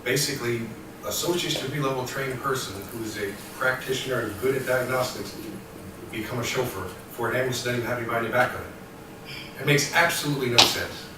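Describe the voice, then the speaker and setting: A man who works as a paramedic, arguing his point forcefully at a public meeting. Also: medium pitch, fast talker, background noise. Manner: forceful, argumentative. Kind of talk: meeting debate. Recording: echoey room from far off.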